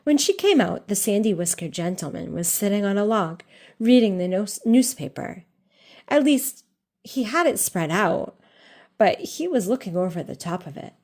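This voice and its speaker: A woman storyteller with a medium-pitched voice reads a scripted tale aloud for children. Her delivery is deliberate and expressive, shaped for young listeners.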